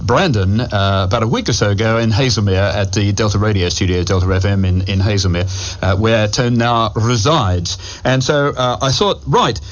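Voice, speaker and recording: A man's voice: fairly low pitch, normal speed, lo-fi sound. A middle-aged man presenting music radio in a chatty, rambling way, often interrupting himself. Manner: rambling, self-interrupting, chuckling. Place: radio studio.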